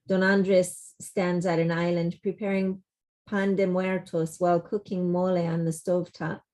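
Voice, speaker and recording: A woman's voice: fairly low pitch, level tone, normal speed, a little mellow. A woman reading stage directions as scripted narration, in a plain, descriptive delivery.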